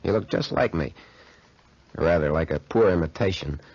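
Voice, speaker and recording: A tormented, low, husky man. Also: moderate pace, a little mellow, full character voice. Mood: brooding. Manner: brooding, melodramatic. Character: tormented, bitter, arrogant man confessing a haunted past. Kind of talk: 1940s radio acting. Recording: muffled 1940s radio recording.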